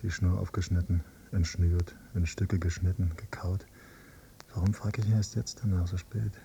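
A man's voice: fairly even intonation, moderate pace, vocal fry, dark tone. A man playing a brooding, bitter adult son visiting his parents in a German radio drama, insistent and given to listing things. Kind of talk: German radio drama, alternating dialogue and inner monologue. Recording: rumbly, noisy cassette copy of radio play.

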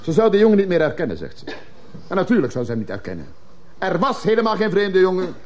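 Animated, high-voiced scornful courtroom orator. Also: male voice, quick pace. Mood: mocking. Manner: mocking, rhetorical. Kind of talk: theatrical radio drama oratory. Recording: dull, lo-fi old radio recording.

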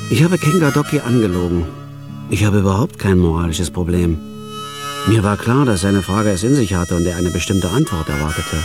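An actor narrating as a laid-off factory worker turned hired killer, with a dry, wry inner voice. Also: male voice, low voice, lively intonation, a little fast, music in the background. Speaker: narrator and lead. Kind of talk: radio drama narration.

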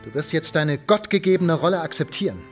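A man's voice: on the high side, animated, brisk, soft background music, natural voice. An actor playing a stern, controlling merchant father, middle-aged, proud and commanding, in a German radio drama. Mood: cold, resolute.

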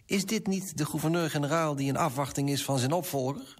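An actor playing a pompous, self-righteous middle-aged merchant in a radio play, husky and indignant. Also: male voice, a little high, fairly quick, close to an everyday voice. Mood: skeptical.

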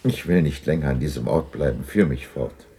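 Low-voiced old miser, softened by memories. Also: male voice, fairly quick, husky, exaggerated voice. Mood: sad, remorseful. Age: older. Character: old miser Scrooge, remorseful, moved by memories. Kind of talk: 1960s German radio drama acting.

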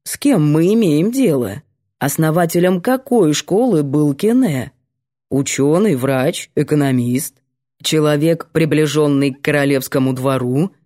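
A woman's voice: low-pitched, mellow. A woman voicing a stern, theatrical male economics professor with a pompous, didactic manner in an audiobook. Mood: pompous, probing.

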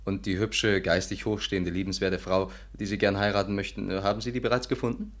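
An actor in a 1950s German radio play playing a cynical, impatient man with a deadpan, sardonic manner. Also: fairly low pitch, a little fast, close to an everyday voice. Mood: dry, impatient. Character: dry, cynical, impatient male companion.

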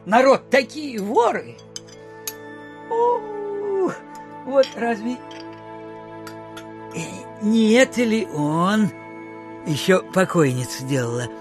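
Old miser, suspicious, grumbling, muttering, wildly swinging pitch, theatrical audio drama, faint music. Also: male voice, sing-song, very slow, pauses often, heavily acted. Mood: fussy, grumbling. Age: older. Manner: muttering, fussy, exclamatory, drifting into grumbles.

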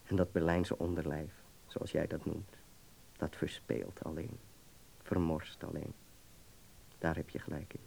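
A worldly young student speaks in a low, dark-toned voice. He is affectionate and teasing, philosophizing with fervour, in the style of a radio play. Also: level tone, unhurried, gravelly, pauses often, slight background noise, heavily acted, rough recording. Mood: earnest, marvelling. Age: young adult. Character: worldly, affectionate German medical student in Berlin. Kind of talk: Dutch radio play acting.

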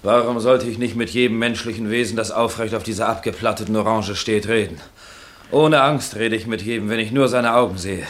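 An actor playing a proud, drunken young intellectual who defies everyone, in theatrical 1960s German radio drama style. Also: male voice, fairly low pitch, steady tone, brisk, fairly bright tone, lightly acted. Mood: tipsy, proud.

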